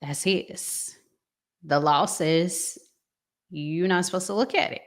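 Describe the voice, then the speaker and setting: A middle-aged woman hosts a casual reaction vlog. Her voice sits a little low and she talks very slowly. She thinks aloud in a halting, conversational way, unscripted throughout. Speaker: vlog host giving commentary.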